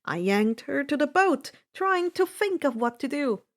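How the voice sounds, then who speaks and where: A woman with a high voice narrates adventure fiction. She takes her time, reading slowly and deliberately with expressive, dramatic delivery. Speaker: audiobook-style fan fiction reader. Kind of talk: dramatic first-person story narration.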